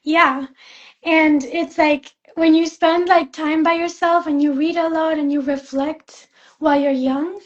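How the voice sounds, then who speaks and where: A young woman from Spain, a fashion student, chatting in an interview, soft-spoken with many fillers and restarts. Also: high voice, steady tone, a little slow, muffled recording. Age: young adult. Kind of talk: casual interview chat.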